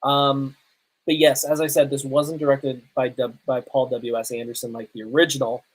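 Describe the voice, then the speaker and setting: Give a mid-pitched podcast host reviewing movies in a casual, conversational way. The host speaks as an opinionated fan, laying out views informally. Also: level tone, normal speed, poor audio. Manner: opinionated self-confessed fan.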